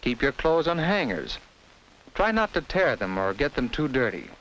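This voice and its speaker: A man's voice: mid-range voice, big pitch swings, moderate pace, a bit bright, low-quality recording. An American man narrating a 1950s educational film in simple, instructive storytelling addressed to children, on a vintage film soundtrack.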